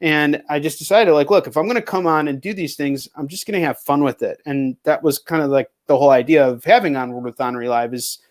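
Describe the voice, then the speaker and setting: Man, slightly high-pitched voice, casual livestream host, rambling, slangy, sweary. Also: fast talker, few pauses. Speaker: livestream host and indie comic creator. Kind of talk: casual chat with a guest.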